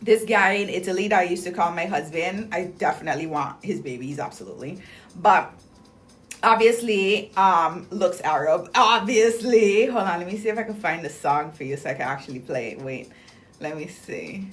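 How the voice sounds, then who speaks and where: A woman's voice: lowish voice, lively intonation, normal speed, fairly bright tone. A young woman hosting a casual livestream, chatting in a bubbly, slangy way with lots of "like" and "um" as she reads viewer comments. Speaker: livestream host promoting clinic deals.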